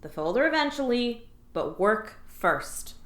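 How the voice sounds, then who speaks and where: A woman voicing a seasoned alien coworker who is brisk, matter-of-fact and teasing toward a rookie. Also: on the high side, big pitch swings, fairly bright tone. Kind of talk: audio drama acting. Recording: roomy echo.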